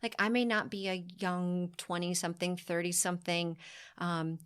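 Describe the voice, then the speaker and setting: A woman in her forties, a guest on a podcast, talking in an emphatic, exuberant, self-affirming way with quick bursts. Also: lowish voice, level tone, a bit bright, smooth. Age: 40s. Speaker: podcast guest, fitness company founder. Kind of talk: casual podcast interview.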